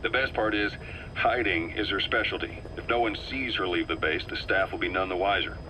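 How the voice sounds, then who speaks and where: An actor playing a calm, calculating middle-aged military officer in a video game, speaking with measured, persuasive assurance. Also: male voice, low-pitched, background noise, natural voice. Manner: measured, assured, reasoning patiently. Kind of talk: dramatic game voice acting.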